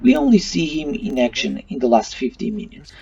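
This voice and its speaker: A man's voice: mid-range voice, big pitch swings, fairly quick, vocal fry, noisy background. A man giving an opinion review in voice-over, with non-native English phrasing. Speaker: YouTube movie reviewer. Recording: muffled, slightly distant mic. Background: faint music.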